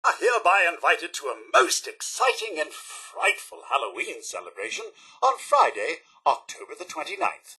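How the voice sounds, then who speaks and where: Chipper British robot butler, high-pitched. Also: male voice, huge pitch swings, normal speed, few pauses, bright, forceful tone, breathy edge, full character voice. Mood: polite, chipper. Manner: formal, overly courteous, theatrical. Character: polite, chipper male robot butler, fussy and theatrical. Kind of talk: theatrical video game character acting.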